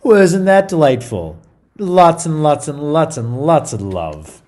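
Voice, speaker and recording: A man's voice: fairly high pitch, big pitch swings, slow pace, a little mellow. A man reading a story aloud to children in a theatrical, playful style, with chatty asides. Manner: theatrical, playful, interjecting comments to young listeners.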